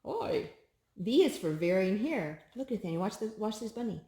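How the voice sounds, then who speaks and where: A woman hosting a children's storytime, reading picture books aloud in an expressive, sing-song way to a small child. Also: medium pitch, moderate pace, reverberant.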